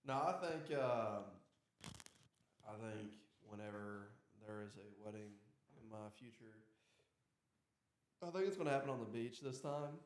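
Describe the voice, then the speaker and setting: A man's voice: lowish voice, animated. A man hosting a podcast, chatting casually and teasing his guest. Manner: teasing, jokey, drawn-out. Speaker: podcast host. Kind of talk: casual chat. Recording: echoey room.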